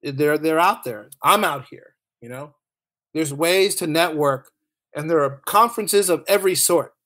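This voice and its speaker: A man's voice: a little high, a little slow, sharp tone. A man chatting casually as a podcast guest, informal and often repeating his phrases as he goes. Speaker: podcast guest, author and audio dramatist. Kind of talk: casual chat, anecdotes.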